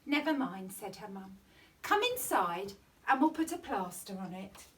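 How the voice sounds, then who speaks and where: A woman's voice: mid-range voice, big pitch swings, measured pace, fairly bright tone, recorded from a distance. A woman reading a children's bedtime story theatrically, stretching words with exaggerated emphasis. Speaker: storytime reader at a primary school.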